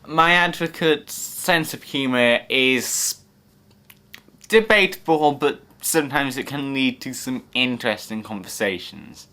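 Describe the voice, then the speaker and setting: A young man with an English accent talks earnestly about the support he receives, hesitating now and then on fillers. His tone is bright and forward, at an ordinary pitch. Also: animated, a little slow. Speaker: young person using the service. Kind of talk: interview testimony.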